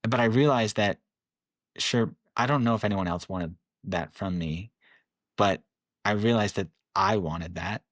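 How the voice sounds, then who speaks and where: A man's voice: lowish voice, unhurried, dry recording. A man in his late twenties, a podcast guest, talking candidly and reflectively with frequent laughs. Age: 20s. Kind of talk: casual interview.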